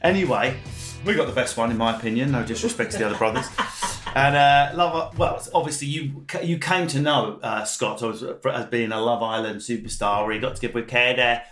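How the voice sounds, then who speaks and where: Excitable jokey male podcast host. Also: medium pitch, brisk, roomy sound, faint music behind. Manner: excitable, jokey, tumbling restarts. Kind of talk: casual chat.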